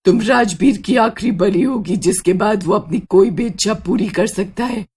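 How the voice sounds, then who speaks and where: A woman voicing a solemn ghostly witch in a horror story, speaking in a slow, ominous way. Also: mid-range voice, darkish tone, husky, natural voice. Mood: grave warning. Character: ghostly witch woman, eerie, solemn, helping from beyond death.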